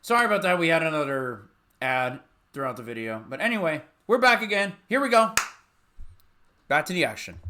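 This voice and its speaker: A man's voice: on the high side, big pitch swings, brisk, noisy background. A man hosting a reaction video, giving casual commentary over the show he is watching.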